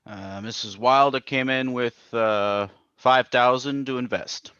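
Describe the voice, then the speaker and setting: An actor playing a smug, crooked investment broker in an old-time radio drama, with a brash, slangy, chuckling manner. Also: male voice, mid-range voice, a little slow, sharp tone, smooth, highs cut off. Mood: defensive.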